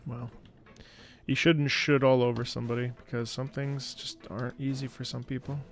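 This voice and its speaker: A man comments casually on a game as he plays. His voice sits at an ordinary pitch with some creak in it, and music plays faintly underneath. He talks like a streamer thinking out loud. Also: little pitch movement, normal speed.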